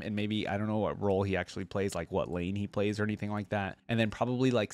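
A man's voice: fairly low pitch, no echo. A young man giving casual reaction commentary, thinking aloud informally and at times reading text out, close on a desk microphone. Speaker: reaction video host. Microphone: close desk microphone on arm.

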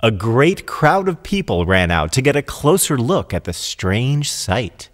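A male narrator reads a storybook tale with lively, varied intonation. His delivery is deliberate and expressive, as in scripted narration. The voice rings with a long, hall-like reverb. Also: medium pitch.

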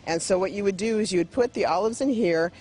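A woman's voice: mid-range voice, fast talker, darkish tone, slight background noise. A middle-aged woman museum guide answering a TV reporter's questions in a friendly, explanatory way inside a large hall. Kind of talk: interview answers. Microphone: reporter's handheld mic.

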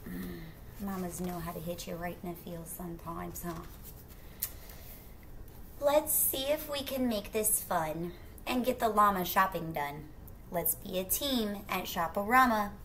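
A middle-aged woman reads to children in a bright, clear-edged voice. She takes her time, drawing out phrases and chatting casually to the listener. The home recording carries faint background noise. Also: lowish voice. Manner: expressive, drawn-out rhyming phrases, questions to the listener. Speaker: teacher reading a picture book aloud. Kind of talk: children's read-aloud with casual asides to young listeners. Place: small indoor room at home.

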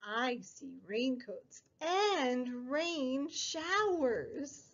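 High, animated woman reading to preschoolers. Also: muffled recording. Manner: sing-song, exaggerated child-directed delivery, drawn-out words. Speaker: preschool story time host and teacher. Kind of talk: picture book read-aloud for small children.

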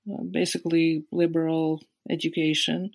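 A woman's voice: low voice, level tone, normal speed, vocal fry, darkish tone, dry recording, poor audio. A woman in her sixties with a Latvian accent, recalling her past in an interview, hesitant with many fillers and restarts. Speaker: guest. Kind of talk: interview recollection.

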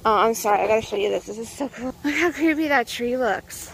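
High-voiced woman vlogging on a walk. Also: animated, a little fast, poor audio. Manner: chatty, rambling, a bit out of breath from walking. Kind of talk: casual walking vlog. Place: outdoors on a suburban path. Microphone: phone held at arm's length.

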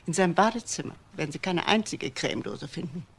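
An older actress playing a wealthy, bitter widowed mother in a German crime radio drama, speaking deliberately and dramatically with pauses. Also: on the low side, animated, husky. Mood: composed, reflective. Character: old wealthy widowed mother, bitter about her son.

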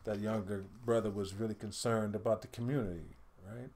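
A middle-aged man reading a picture book aloud in an animated, dramatic storytelling style, pausing to speak to listeners. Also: on the low side. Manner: expressive, dramatic swells and hushed asides to listeners. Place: indoor home studio room.

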